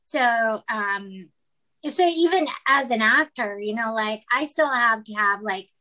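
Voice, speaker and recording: Woman guest from the Philippines, slow and halting with lots of fillers, bright voice, a little high. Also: expressive. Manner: hesitant, many fillers and restarts. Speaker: guest, writer and actress. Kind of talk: casual interview conversation.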